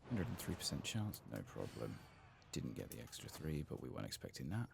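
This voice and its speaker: A man hosting a gaming video in a soft-spoken ASMR style, sometimes whispering, murmuring his thoughts unhurriedly as he plays. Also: a bass voice, big pitch swings, creaky, noisy background. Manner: murmured, unhurried musing to himself.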